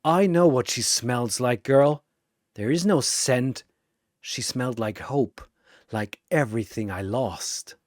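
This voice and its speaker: A grim masked male avenger from a dramatic battle story, as the narrator puts him on. He speaks slowly and coldly, with solemn discipline. The sound is dry and close. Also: mid-range voice, a little mellow, no echo, close to an everyday voice. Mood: icy. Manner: measured, solemn, cold pronouncements.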